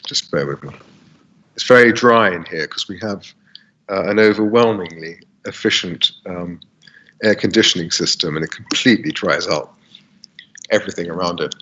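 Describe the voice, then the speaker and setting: A man with a British accent giving a formal statement over a video call at a planning hearing, halting with many fillers and restarts, his throat dry and croaky. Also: sits low, sharp tone, lo-fi sound, a little hiss or hum. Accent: British English. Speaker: council planning witness. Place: air-conditioned room.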